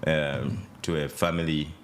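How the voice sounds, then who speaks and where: A man in his thirties from Uganda with a Ugandan accent, a podcast guest talking in a relaxed, hesitant way with many fillers. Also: very deep voice, a little slow, a little hiss or hum. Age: 30s. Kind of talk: casual interview chat.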